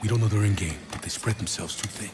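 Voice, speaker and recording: An actor playing a middle-aged rebel leader in a video game, terse, fierce and authoritative. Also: male voice, fairly low pitch, quick pace, heavy background noise, lightly acted. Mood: businesslike, urgent. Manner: terse, authoritative, declamatory when rallying. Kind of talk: cinematic video game acting.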